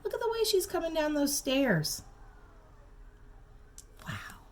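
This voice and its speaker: A woman reading a storybook aloud to young children, expressive and emphatic, with simple asides and questions for the listeners. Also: sits high, slight background noise. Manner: expressive, slow emphatic phrasing, simple child-directed comments. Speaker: preschool teacher reading aloud.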